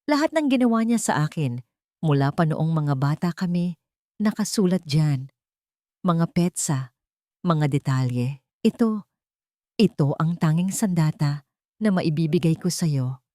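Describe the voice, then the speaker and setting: A woman's voice: deep voice, animated, unhurried, pauses often, dark tone, no echo, clean studio sound. Pained, frightened Filipino woman in hiding, voiced by a narrator. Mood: resigned. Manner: pained, dramatic. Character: frightened, bitter woman hiding from her abusive family. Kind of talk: dramatised storytelling.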